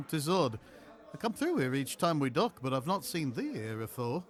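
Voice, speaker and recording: A man playing a weathered, shrewd, boastful sailor in an audio play, speaking in a rough, weighty, archaic and flirtatious way. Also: fairly high pitch, very animated. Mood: sly, probing. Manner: deliberate, weighty, archaic speech.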